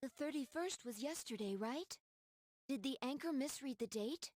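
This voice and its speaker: A woman playing a gentle, earnest android girl in a video game, speaking politely and with measured hesitation. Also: sits high, lively intonation, no echo, studio quality. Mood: uneasy. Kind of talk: scripted video game cutscene acting.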